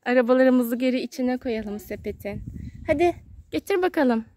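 A woman's voice: high-pitched, animated, moderate pace, mellow. A woman talking casually to her young child in a coaxing, sing-song way as she films outdoors in a garden on a phone. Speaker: mother filming her child at play. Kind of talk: casual chat with a toddler. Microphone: handheld phone, behind the camera. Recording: faint outdoor background noise, clicks.

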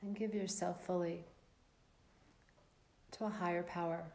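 A woman yoga teacher guides a relaxation practice in a fairly low voice with noticeable creak. She gives calm, gentle instructions in short measured phrases, leaving long pauses between them.

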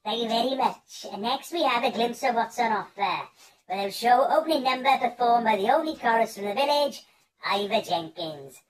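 A man's voice: very high-pitched, lively intonation, moderate pace, a crowd in the background, poor audio. A man playing a festive pantomime bear, welcoming the audience in theatrical, declamatory rhyme like a showman. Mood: cheery.

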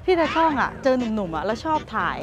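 A young woman vlogging casually at an outdoor motorcycle swap meet, chatty and excited, talking into a handheld camera. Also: fairly high pitch, quick pace, loud music behind the voice. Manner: chatty, excited, switching between Thai and English. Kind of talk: casual vlog chat.

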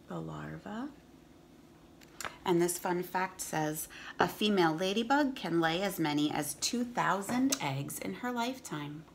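A woman's voice: fairly low pitch, animated, a little slow, vocal fry, a little hiss or hum. A woman, reading aloud to children as a teacher and chatting casually between passages. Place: room at home.

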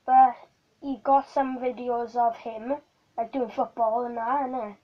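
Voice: mid-range voice, little pitch movement, unhurried, dull audio. An informal, chatty speaker, talking casually in a home video.

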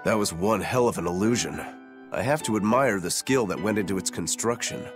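A man's voice: on the low side, fairly quick, natural voice. A man playing a cool, self-assured fighter in a video game. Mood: impressed. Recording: game audio with music behind.

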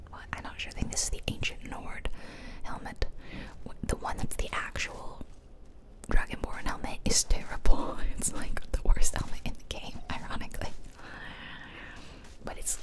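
A woman whispering softly and close to the mic, giving playful, self-deprecating, chatty commentary on a video game as an ASMR host. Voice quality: soft unvoiced whisper. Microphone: close ear-to-ear ASMR microphone.

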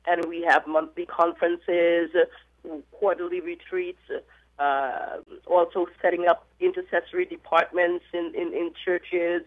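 A middle-aged woman from Guyana, a minister calling in to a radio interview and telling her life story in a reflective way. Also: lowish voice. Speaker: guest, minister and author. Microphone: telephone. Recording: tinny phone line.

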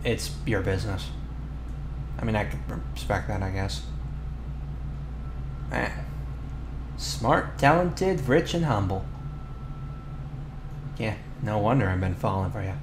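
A flustered teenage bully boy, acted by a man in an ASMR boyfriend roleplay. His voice sits on the low side, and he talks casually and haltingly, defensive yet sheepish. The sound is roomy, with clear background noise. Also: expressive. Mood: curious, awkward.

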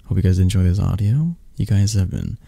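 Male ASMR host: low, gravelly, casual, soft-spoken chat to his audience. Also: lively intonation, brisk, dry recording. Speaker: ASMR voice actor and channel host. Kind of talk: casual thank-you to listeners.

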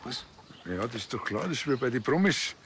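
A man's voice: medium pitch, lively intonation, brisk, a bit bright, a little hiss or hum. An actor with a Bavarian accent playing an eager village policeman who talks in a chatty, colloquial way.

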